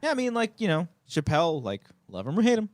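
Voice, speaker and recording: A man's voice: high-pitched, wildly swooping pitch, fast talker, dry recording, studio quality. A man talking in a casual, comic chat style.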